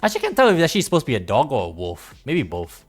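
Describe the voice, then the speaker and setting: A man's voice: mid-range voice, wildly swooping pitch, rapid-fire. A man livestreaming a video game, chatting casually and easygoing with viewers as he plays. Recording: music playing behind the voice. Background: game music.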